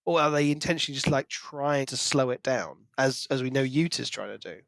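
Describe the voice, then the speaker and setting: A man's voice: mid-range voice, brisk, vocal fry, a bit bright, no room sound. A man co-hosting a podcast, casually discussing a novel and musing aloud in questions. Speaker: book podcast co-host.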